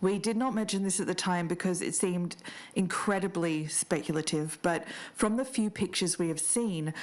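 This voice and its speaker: A woman playing an earnest podcast investigator, narrating in a measured, explanatory way. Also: lowish voice. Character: earnest female podcast investigator researching family history. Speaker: podcast co-host. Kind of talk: scripted documentary narration.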